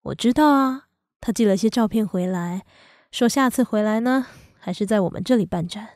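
A woman voicing a gentle, guarded young woman in a Mandarin audio drama, soft-spoken and restrained, with a Taiwanese accent. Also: medium pitch, normal speed, dry recording, lightly acted. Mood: tender. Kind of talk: Mandarin radio drama acting. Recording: music bed under the voice.

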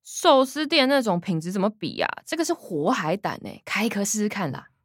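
A woman's voice: mid-range voice, big pitch swings, fairly quick, no room sound, clean studio sound. An actress playing a sarcastic, teasing young working woman, the older sister in a radio drama, with a Taiwanese Mandarin accent. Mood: eager, curious. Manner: sardonic, teasing.

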